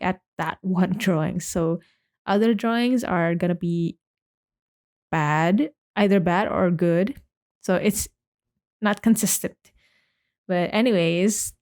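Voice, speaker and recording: A woman's voice: mid-range voice, a little mellow. Casting: young woman from Indonesia, podcast host, chatty and rambling, casual solo talk. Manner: chatty, rambling, with many likes and restarts.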